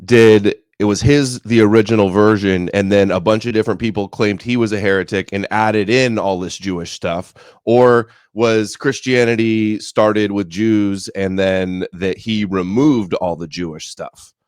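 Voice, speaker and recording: A man in a casual podcast discussion, reasoning through ideas in a measured way. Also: on the low side, fairly even intonation, darkish tone, clean tone, no room sound, clean studio sound. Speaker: podcast co-host or guest.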